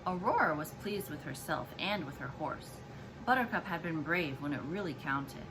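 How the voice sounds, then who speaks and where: A woman's voice: deep voice, moderate pace, noisy background. A woman, a mother, reading a children's storybook aloud expressively to her child. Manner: expressive, acts out dialogue and explains to child.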